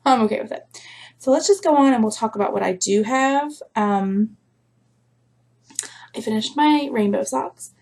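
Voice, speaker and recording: A woman vlogger chatting casually to her viewers, rambling with lots of fillers, her voice a little rough from a recent sore throat. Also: on the high side, unhurried, long pauses, darkish tone. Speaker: crafting vlogger. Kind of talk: casual chat to camera.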